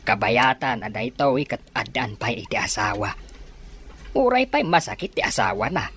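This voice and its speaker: A man's voice: medium pitch, big pitch swings, moderate pace, a bit bright, a little hiss or hum. A man with an Ilocano Filipino accent playing a cautious, moralizing middle-aged father in a radio drama. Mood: disapproving.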